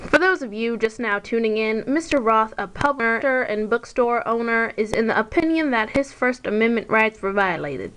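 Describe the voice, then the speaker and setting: A young woman playing a formal, brisk judge in an amateur puppet show. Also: a little high, expressive, fairly bright tone, smooth, rough recording. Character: formal, brisk court judge presiding over case.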